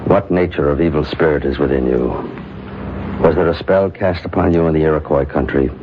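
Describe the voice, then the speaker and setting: Very deep, grave old medicine man. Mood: solemn. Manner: solemn, measured, formal. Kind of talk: old-time radio drama acting. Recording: muffled, noisy old radio drama recording.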